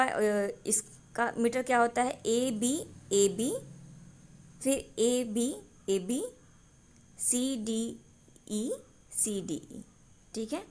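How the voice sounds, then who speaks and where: Rhetorical-questioning woman teacher, slightly high voice. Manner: explains step by step, asks rhetorical questions and answers them; mixes Hindi with English terms. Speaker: exam-prep teacher. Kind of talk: exam-prep lecture.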